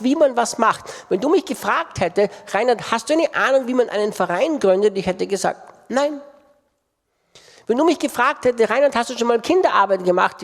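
Impassioned preacher in a reverberant hall. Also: male voice, high-pitched. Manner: impassioned, emphatic repetition. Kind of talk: sermon. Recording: long reverb of a large hall.

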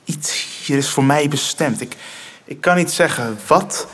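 A young male actor playing an earnest, determined teenage son in a radio drama, speaking intensely and haltingly. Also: on the high side, fairly bright tone, slightly rough. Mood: awed, intense. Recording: music under the voice.

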